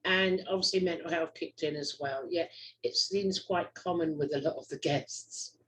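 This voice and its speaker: A woman's voice: low-pitched, normal speed, poor audio. A middle-aged woman from the UK hosting a casual interview, effusive and rambling, with many fillers. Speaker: host.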